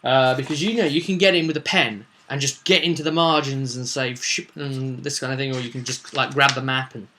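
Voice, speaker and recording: Bright, forceful man recapping a roleplaying game. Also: mid-range voice, fairly even intonation, brisk, few pauses, slight background noise. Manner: theatrical emphasis, rambling asides. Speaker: game master recapping a tabletop roleplaying campaign. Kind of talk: casual, animated storytelling vlog.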